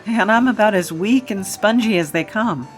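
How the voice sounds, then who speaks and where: A woman's voice: medium pitch, moderate pace, soft background music, lightly acted. A woman playing a beleaguered, sheepish hero with a hesitant, wry, conversational delivery in a comic audio drama. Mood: protesting.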